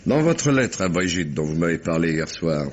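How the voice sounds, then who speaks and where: An actor playing a shrewd, talkative police commissioner with a probing, familiar, ironic manner in a French radio mystery. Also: male voice, deep voice, sing-song, moderate pace. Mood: probing, methodical. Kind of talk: French 1950s-style radio mystery acting. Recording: muffled, noisy old radio recording.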